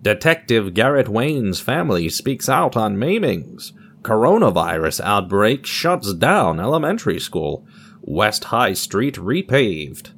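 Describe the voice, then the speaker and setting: A man's voice: fairly low pitch, very animated, takes it slowly, a bit bright, husky. A man narrating a scripted audio drama in a theatrical, storybook style, with a wry touch.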